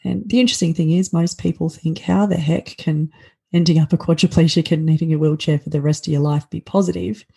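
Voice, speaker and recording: A woman's voice: deep voice, fairly even intonation, fairly quick, creaky, dark tone, husky. A woman in her forties with an Australian accent, a guest on a podcast interview, speaking earnestly with many fillers and restarts. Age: 40s.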